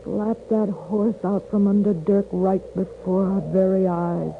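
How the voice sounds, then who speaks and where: A woman's voice: medium pitch, flat delivery, measured pace, gravelly. Plain rustic western woman, wounded but stoic, old radio drama, narrow hissy sound. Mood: numb, resigned. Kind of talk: 1950s radio western acting. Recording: thin, noisy old radio broadcast.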